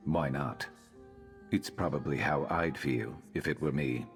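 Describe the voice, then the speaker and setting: Very deep, sardonic lizard-man mercenary. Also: unhurried, faint music behind. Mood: somber, resolute. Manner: dry, sardonic, deadpan. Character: gruff sardonic male Argonian lizard-man mercenary companion. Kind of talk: video game character dialogue.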